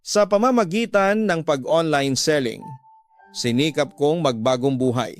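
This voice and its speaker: A man with a fairly high voice reads a confessional letter as a radio drama narrator. His delivery is scripted and dramatic, and music plays behind him. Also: a little slow. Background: background music.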